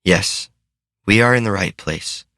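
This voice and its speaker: A man voicing a composed girl who speaks slowly and solemnly. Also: on the low side, bright, forceful tone, no echo, clean studio sound. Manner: slow, measured, solemn. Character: calm, composed young girl with powers.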